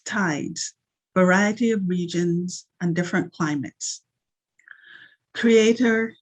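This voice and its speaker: A woman who grew up in Barbados, speaking in an earnest, measured way as she opens a video call with a prayer and introduces herself. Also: mid-range voice, poor audio. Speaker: event coordinator.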